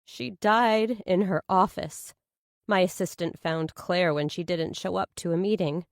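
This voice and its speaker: A woman's voice: lowish voice, unhurried, dry recording, studio quality. Woman acting an insistent, guarded female lawyer. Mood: shaken, guarded. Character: evasive, insistent, businesslike female lawyer on the phone.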